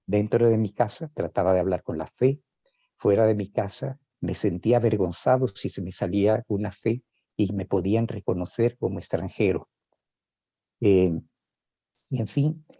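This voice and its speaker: A man's voice: lowish voice, warm tone, husky, no room sound. An older man, a psychoanalyst, reflecting on his own life in a hesitant way, with many fillers and restarts. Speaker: psychoanalyst sharing personal experience. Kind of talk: reflective spoken testimony. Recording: dull lo-fi sound.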